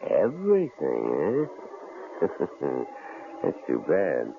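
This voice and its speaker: An actor playing a menacing, sneering male stranger in an old radio drama, with a gravelly, mocking drawl. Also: extremely low pitch, wildly swooping pitch, extremely slow, full character voice. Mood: taunting. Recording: tinny old radio broadcast, faint hiss.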